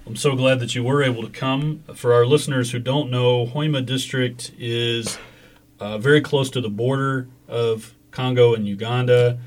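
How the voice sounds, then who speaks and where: A man's voice: medium pitch, steady tone, moderate pace. A man hosting a conversational interview, affirming his guest and explaining things for listeners. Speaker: interview host.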